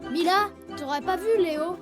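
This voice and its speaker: A woman's voice: very high-pitched, moderate pace. A woman voicing a boastful, stubborn young male tiger cub in a children's cartoon, boisterous and challenging. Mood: competitive. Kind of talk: animated children's cartoon acting. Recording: music behind the voice.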